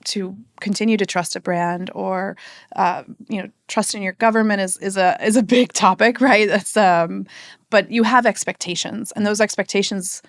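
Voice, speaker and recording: A woman's voice: medium pitch, fairly even intonation, moderate pace, no echo, studio quality. A woman, a marketing executive chatting as a podcast guest, thinking aloud with fillers and "right?" tags. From United States. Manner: conversational, thinks aloud with fillers and tag questions. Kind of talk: casual interview conversation.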